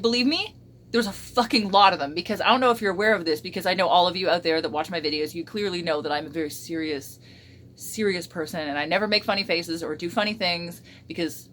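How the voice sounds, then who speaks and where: Woman vlogger, bright tone, crackly voice, jokey and sweary. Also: fairly low pitch, quick pace. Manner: joking, swears often, sarcastic deadpan bits. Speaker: YouTube vlogger. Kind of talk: casual blooper vlog chat.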